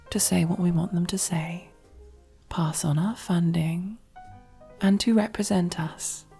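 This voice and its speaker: A woman playing a commanding, possessive and silkily affectionate female mafia boss in an ASMR roleplay, speaking intimately in a breathy, husky voice that often drops to a whisper. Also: on the low side. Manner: slow, controlled, softly commanding, intimate.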